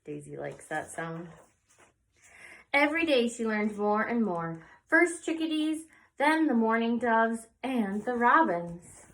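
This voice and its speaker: A woman reading a picture book aloud to young children in an expressive storytelling way, pausing for little asides. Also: a little high, low-quality recording. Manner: slow, animated, pausing for asides. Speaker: children's read-aloud presenter.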